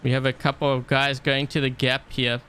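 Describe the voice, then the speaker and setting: A man's voice: medium pitch, level tone, moderate pace, a bit bright, a little hiss or hum. A man from South Africa commentating his own game live, chatting and thinking aloud with lots of fillers. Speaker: game streamer. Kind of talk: casual live-stream gameplay commentary.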